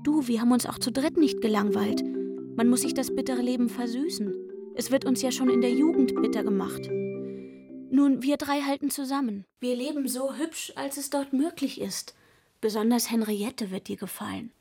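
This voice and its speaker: A woman's voice: fairly high pitch, normal speed, over loud music, natural voice. An actress playing a coaxing, wistful young working girl in a radio play, confiding and eager as she speaks. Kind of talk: literary radio play acting.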